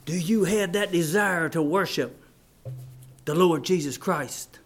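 A man preaching a fervent, folksy evangelical sermon, swinging between shouts and hushed appeals. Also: on the high side, slow pace. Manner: folksy, plain-spoken grammar with rhetorical questions.

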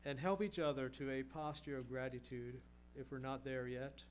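A man's voice: mid-range voice, steady tone, normal speed, slight background noise. A middle-aged man preaching a sermon, earnest, asking rhetorical questions and often repeating words as he goes.

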